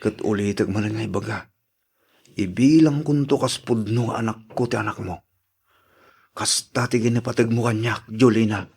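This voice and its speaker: An actor playing an earnest young suitor, pleading softly in radio drama style. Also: male voice, lowish voice, steady tone, moderate pace, vocal fry, husky. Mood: apologetic, devoted. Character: earnest young suitor devoted to his sweetheart.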